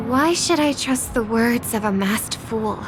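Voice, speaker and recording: A woman's voice: fairly high pitch, lively intonation, a little slow. An actress playing a composed, resolute young woman in a video game, speaking with poised, earnest firmness. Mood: wary, urgent. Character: composed, resolute young female festival host confronting a villain. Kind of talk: video game character acting. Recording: music behind the voice.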